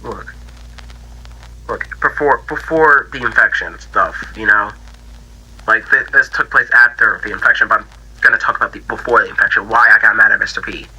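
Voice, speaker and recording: A man voicing a bitter, grieving young pig character in a halting, stammering interview for an analog horror fan drama. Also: on the low side, bright, forceful tone, breathy edge, full character voice, poor audio. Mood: halting, resentful. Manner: halting, stammering, repeats himself, trailing off. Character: grieving, bitter young male cartoon pig, infection survivor.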